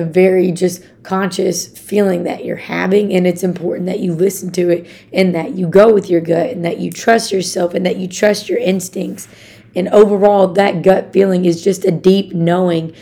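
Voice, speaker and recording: A young woman from Arkansas with a raspy voice, hosting a casual motivational podcast in an upbeat, chatty way and talking straight to her listeners. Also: mid-range voice, mellow. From Arkansas, USA. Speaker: podcast host.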